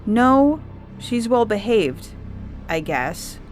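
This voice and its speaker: A woman's voice: a little high, very animated, very slow, pitched above the performer's own voice, exaggerated voice. A woman voicing an earnest, insistent young girl telling her account in a dramatised story reading. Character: young girl witness, earnest, recounting alien visitors. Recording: music bed under the reading. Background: music.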